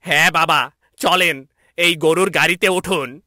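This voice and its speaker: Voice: medium pitch, measured pace, pushed and bright, no echo, studio quality, voice pushed up. A man playing an anxious, deferential royal minister in a fairy-tale cartoon. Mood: respectful, eager.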